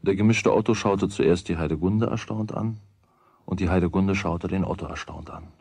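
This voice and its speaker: An actor narrating a children's radio play as a wry storyteller with a husky voice. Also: male voice, low-pitched, normal speed, darkish tone, dry recording, heavily acted. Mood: wry, matter-of-fact.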